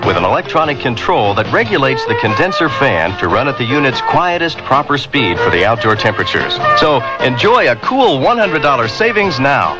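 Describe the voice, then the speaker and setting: A man reading a scripted radio advertisement as a practiced sales pitch. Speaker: commercial announcer. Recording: vintage radio broadcast with music underneath. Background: loud music.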